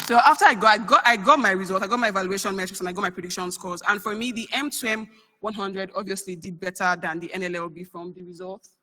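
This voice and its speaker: A woman's voice: mid-range voice, pushed and bright. A young woman giving a chatty conference research talk, full of fillers. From Nigeria. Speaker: researcher presenting.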